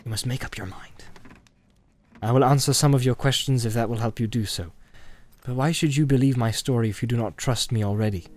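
A man voicing a grim, guarded wanderer who speaks gravely and firmly, in a fantasy storybook reading. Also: medium pitch, darkish tone, close to an everyday voice. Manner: grave, deliberate, firm.

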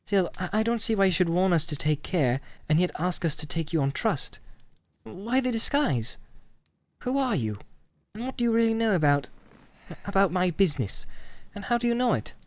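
A man's voice: sits high, lively intonation, normal speed, no echo, pitched above the performer's own voice. A man voicing an earnest male hobbit who questions warily and eagerly, in a fantasy storybook reading. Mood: wary, questioning.

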